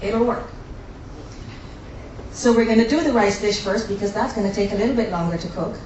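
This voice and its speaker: A woman's voice: mid-range voice, a little fast, darkish tone, slight background noise. A woman from the Caribbean, hosting a live cooking demonstration and chatting with the audience. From born in Guyana, raised in Barbados. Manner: chatty, jokey, talks to the audience. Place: demo kitchen on a cruise ship. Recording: very echoey room, distant mic, faint ambience.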